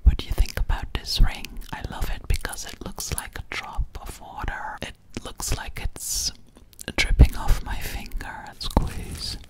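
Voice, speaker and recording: A young woman whispering ASMR up close to the mic, hushed, often repeating words. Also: measured pace, low-quality recording. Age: young adult. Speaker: ASMR creator. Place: small bedroom, indoors. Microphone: close mic near the camera, touched at times.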